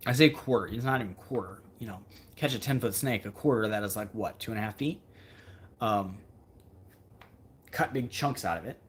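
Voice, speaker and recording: Husky man chatting casually on a livestream. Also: mid-range voice. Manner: rambling, thinking aloud, emphatic repetition. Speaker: fishing video creator hosting a livestream. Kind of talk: casual livestream chat answering viewer comments.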